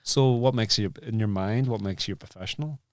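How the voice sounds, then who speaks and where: A man hosting a relaxed conversational interview, thinking aloud in a halting way and circling back over his sentences. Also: fairly low pitch, no room sound. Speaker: interview host, filmmaker.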